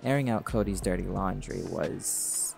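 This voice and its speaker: A man's voice: low-pitched, unhurried, creaky, a little mellow, exaggerated voice. An actor voicing a laid-back, teasing male jaguar shifter in an audio roleplay, blunt and flirtatious, with music playing behind.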